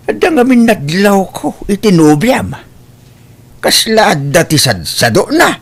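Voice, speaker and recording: A man playing a hardworking, put-upon elderly father-in-law, gently reproachful, in an audio drama with an Ilocano accent. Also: sits high, exaggerated voice.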